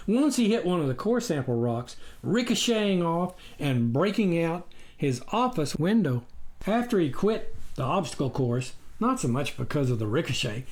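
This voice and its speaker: Animated male audiobook narrator. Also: fairly high pitch. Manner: expressive storytelling. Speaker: author reading his memoir.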